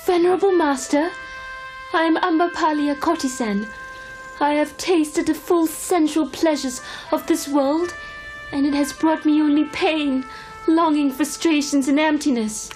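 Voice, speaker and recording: A woman's voice: sits high, fairly even intonation, a little slow, a little mellow, soft background music, rough recording. A woman voicing a wealthy, discontented courtesan in a cartoon, speaking earnestly and formally as she seeks freedom. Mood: humble, earnest. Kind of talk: cartoon voice acting.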